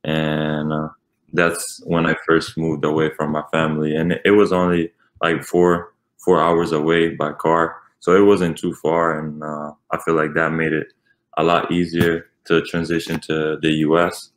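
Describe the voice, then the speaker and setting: A man's voice: rumbling low, monotone, measured pace. A young man from Switzerland, a native Italian speaker and college basketball player, answering interview questions with many fillers. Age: young adult.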